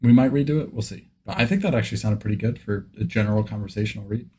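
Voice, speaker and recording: Husky-edged man talking casually. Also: fairly low pitch, very fast, dark tone. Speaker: video host, beginner voice actor. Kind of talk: casual vlog talk.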